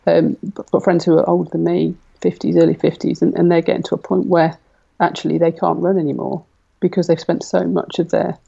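A woman's voice: lowish voice, level tone, a little fast, gravelly, warm tone, husky, highs cut off. A woman in her forties, a guest athlete, talking casually in an interview and thinking aloud with lots of fillers. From Britain. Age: 40s.

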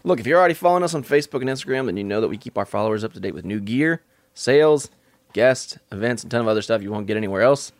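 A man's voice: mid-range voice, lively intonation, rapid-fire, dry recording. Earnest podcast co-host man. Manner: earnest, encouraging. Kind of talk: podcast hosting.

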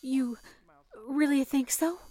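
An actress playing an earnest, dutiful and caring young telephone operator in a 1930s-style radio play. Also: female voice, high voice, steady tone, takes it slowly, mellow. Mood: earnest, concerned.

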